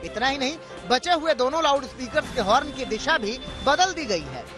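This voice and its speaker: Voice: high voice, very animated, normal speed, music in the background. A news narrator reading a scripted, dramatic television report.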